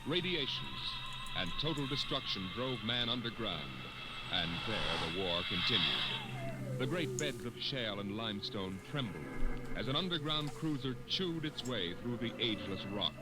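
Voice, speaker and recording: An actor playing a solemn narrator of a grim war tale, measured and dramatic in 1950s radio style. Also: male voice, medium pitch, sing-song, lightly acted, low-quality recording. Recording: old radio recording, loud music.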